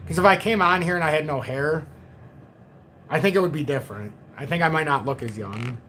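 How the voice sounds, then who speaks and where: A man in his forties chatting through market charts on a live stream, fairly high for a man. He loops back on his phrases and answers his chat as he goes. A faint hum of noise sits under his voice. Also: brisk, fairly bright tone. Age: 40s. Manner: talks to chat, reads viewer comments, repeats himself. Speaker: crypto livestream host. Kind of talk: casual chart analysis livestream.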